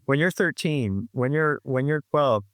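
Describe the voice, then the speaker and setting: A man in his sixties talks reflectively as an interview guest, circling back with frequent restarts and fillers. His voice sits at an ordinary middle pitch. The sound is dry and close, with no room around it. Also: lively intonation, unhurried, fairly bright tone, dry recording. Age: 60s. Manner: rambling, full of restarts and "you know, like". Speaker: guest musician.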